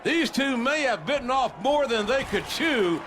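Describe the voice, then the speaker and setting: A man voicing an excitable wrestling play-by-play announcer in a game. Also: extremely high pitch, a little slow, background noise. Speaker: wrestling commentator. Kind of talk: game commentary. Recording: game audio under loud music.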